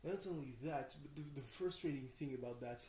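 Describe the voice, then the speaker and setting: A tired young man rambles casually through a video diary, halting and thinking aloud, often questioning himself. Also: mid-range voice, warm tone. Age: young adult. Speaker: video blogger. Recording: roomy echo, faint background noise.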